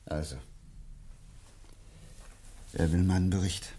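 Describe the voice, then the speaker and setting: A man playing an agitated, earnest scientist in a German radio drama, speaking urgently and haltingly. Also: low-pitched, flat delivery, dark tone, slightly rough, exaggerated voice. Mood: hesitant. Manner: hesitant, halting, then urgent. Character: agitated, earnest male scientist, doubting officials.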